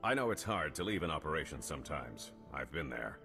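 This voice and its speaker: Seasoned male colonel for a sci-fi game: very low, measured, commanding, reverberant hall with music. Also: very animated, sharp tone, exaggerated voice. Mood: formal, congratulatory. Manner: formal, measured, official. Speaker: military officer character. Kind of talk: video game cutscene acting.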